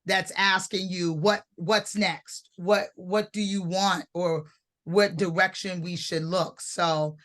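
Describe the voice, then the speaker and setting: A woman's voice: fairly low pitch, fairly even intonation, slow, fairly bright tone. A woman hosting a casual panel talk, earnest and rambling, with many restarts. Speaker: host.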